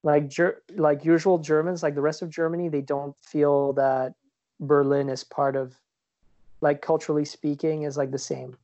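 A man's voice: a little high, level tone, normal speed, mellow, dry recording, lo-fi sound. A man from Switzerland chatting casually as a podcast co-host, rambling with lots of fillers and restarts. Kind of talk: casual chat. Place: lives in New York.

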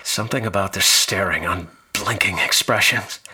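A man's voice: low-pitched, normal speed, sharp tone, slightly rough, heavily acted. A man acting in a radio drama as a haunted young college student, uneasily recounting horrors. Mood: troubled. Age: young adult.

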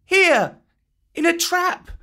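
Brief: a reader putting on an eager young jungle boy in a storybook, pitched far above the narration, bright and loud. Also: lively intonation, extremely slow, exaggerated voice.

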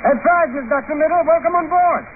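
An actor playing a cheeky, excitable, loyal foreign sidekick with colorful phrasing in 1950s radio drama style. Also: male voice, very high voice, fast. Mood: chipper. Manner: colorful, odd phrasing, animated. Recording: tinny, noisy 1950 radio recording.

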